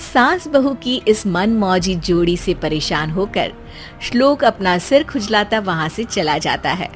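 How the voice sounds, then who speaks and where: A woman's voice: mid-range voice, lively intonation, measured pace, music in the background. A woman narrator reading a scripted family cartoon story.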